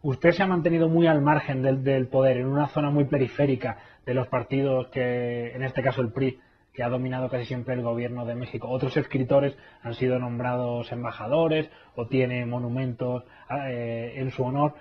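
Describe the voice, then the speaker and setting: Male interviewer, measured formal questions, husky voice, muffled lo-fi sound. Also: mid-range voice, flat delivery. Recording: dull, lo-fi sound.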